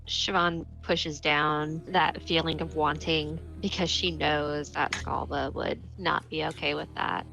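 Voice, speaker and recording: A woman's voice: low voice, flat delivery, measured pace, vocal fry, few pauses, sharp tone, faint music behind, rough recording. A woman playing a tabletop game, casually narrating what her character thinks and does.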